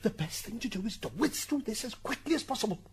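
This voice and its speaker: A woman's voice: fairly high pitch, sing-song, fast, noisy background. A woman playing a wry, fussy, easily flustered defence advocate in heaven's court for a radio drama. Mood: wry, confiding. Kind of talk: amateur radio drama acting.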